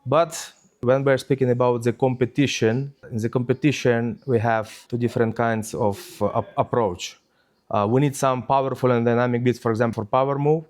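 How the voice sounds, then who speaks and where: A man's voice: medium pitch, flat delivery, moderate pace, a little mellow. A man from Ukraine speaking English, answering interview questions with many hesitations and fillers. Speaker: DJ guest.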